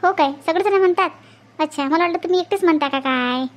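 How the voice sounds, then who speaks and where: A woman acting as a young cartoon sister-in-law speaks extremely high and fast, with a Vidarbha Marathi accent. She sounds chatty, earnest and deferential to her in-laws. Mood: teasing. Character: gentle young sister-in-law, teasing but easily wronged. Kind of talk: amateur cartoon family drama.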